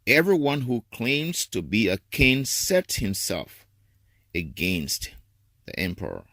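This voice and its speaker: Man, low pitch, widely varied intonation, solemn scripture reader, deliberate phrasing. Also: huge pitch swings, sharp tone, dry recording, studio quality. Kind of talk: solemn dramatic scripture reading at a church service.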